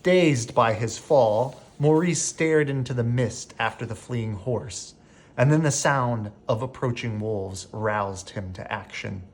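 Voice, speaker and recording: A man reading a children's bedtime story aloud as an expressive narrator. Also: medium pitch, slow. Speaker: storybook reader.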